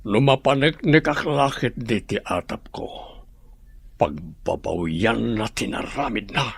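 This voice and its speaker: Bitter hospital patient, radio drama, grim and resentful, gravelly, dry close mic. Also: male voice, medium pitch, very animated, unhurried, dry recording, full character voice. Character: bitter man recovering in hospital.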